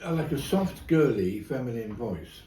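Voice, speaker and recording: A Welsh man, an art critic, talking in animated, stumbling, sweary banter at a dinner table. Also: medium pitch, normal speed, recorded from a distance. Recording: echoey room, off-mic, faint noise.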